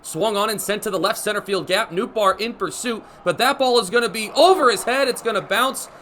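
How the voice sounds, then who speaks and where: A young American man calling baseball play-by-play for radio, with energetic, vivid running commentary. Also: high-pitched, a little fast. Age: young adult. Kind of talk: live radio sports commentary. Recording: live broadcast with ballpark background noise. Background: stadium ambience.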